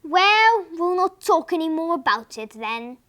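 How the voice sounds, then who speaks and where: A woman's voice: very high-pitched, very animated, measured pace, sharp tone, heavily acted. A woman doing a grumbling old husband. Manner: grumbling, exasperated. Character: grumbling, stubborn old husband, kind underneath.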